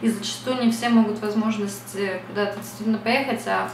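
A woman's voice: mid-range voice, fairly even intonation, fairly quick, reverberant, recorded from a distance, slight background noise, rough recording. A young woman from Russia talking casually to camera at home, reflective and chatty, with many fillers. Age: young adult. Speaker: beauty vlogger. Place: small bright room at home.

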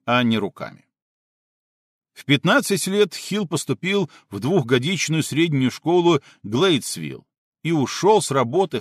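A man reading an audiobook as a scripted narration, measured and clearly articulated. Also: mid-range voice, no echo, clean studio sound.

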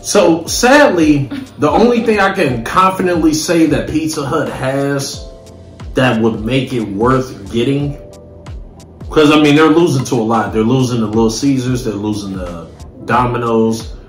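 A man's voice: mid-range voice, a little slow. An American man in his thirties chatting casually and emphatically in slang as he reviews food on camera. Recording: roomy home recording with faint music.

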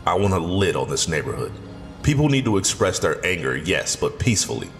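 An actor playing a wise, stern older garage owner and community leader in an audio drama, plain-spoken and authoritative. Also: male voice, low voice, big pitch swings, a little fast. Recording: long reverb, music underneath.

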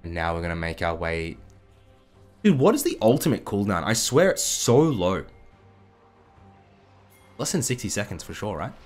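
A young man with a slightly croaky voice, casually commenting on a game replay from his desk, chatty with excitable bursts. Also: fairly low pitch, normal speed, long pauses. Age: young adult. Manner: chatty, excitable bursts over calm analysis. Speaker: gaming commentator. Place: indoor room at a desk. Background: background music.

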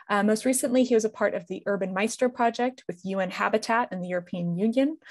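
Thirties woman host on a headset, gravelly edge, dry close sound. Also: mid-range voice, no room sound. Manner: enthusiastic, many fillers. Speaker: event host introducing guest. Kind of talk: introduction at an online event. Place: indoor room.

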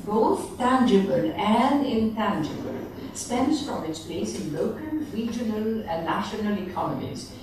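A middle-aged woman reads a formal conference paper at a lectern, in a measured way with occasional self-corrections. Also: medium pitch, very animated. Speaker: conference speaker reading a presentation. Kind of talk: reading a prepared conference paper. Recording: echoey conference hall, distant mic, faint background noise.

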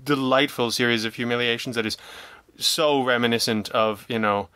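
Wry man chatting, bright clear voice. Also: mid-range voice, normal speed, dry recording. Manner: wry, self-deprecating, animated storytelling with mock quotes. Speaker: interview guest, audio drama writer and producer. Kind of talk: casual interview chat.

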